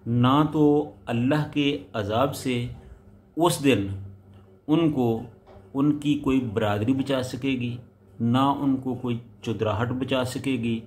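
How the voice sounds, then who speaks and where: A man preaching a sermon. He recites a verse, then explains it in short, measured phrases that rise for emphasis. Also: mid-range voice, steady tone, a little mellow, slight background noise.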